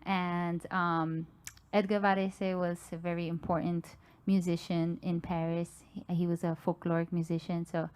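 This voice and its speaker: A woman from New York, a musician guest, chatting softly with many pauses and fillers. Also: lowish voice, level tone, clear, resonant tone. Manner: soft-spoken, many fillers and restarts. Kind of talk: casual interview chat. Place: home live stream with guitar. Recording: live guitar music loud behind. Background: live guitar and singing.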